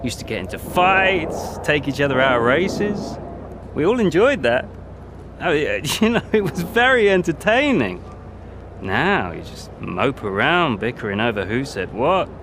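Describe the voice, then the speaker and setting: A man's voice: fairly high pitch, very animated, measured pace, background noise. An actor playing a smug, cocky young racing driver who taunts his rivals sarcastically. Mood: mocking.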